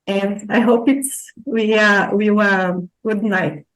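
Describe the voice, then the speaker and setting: A Brazilian woman student speaks slowly and hesitantly in English, pausing and restarting often. Her voice sits in a middle pitch and is heard over a video call. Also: steady tone, rough recording. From southern Brazil. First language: Portuguese. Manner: hesitant, with many fillers and restarts.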